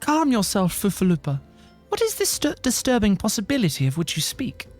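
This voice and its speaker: A man voicing a composed, wry adventurer from a fantasy video game, reading in a measured, articulate, theatrical way. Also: high-pitched, huge pitch swings, soft background music, voice pushed up, heavily acted. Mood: calming.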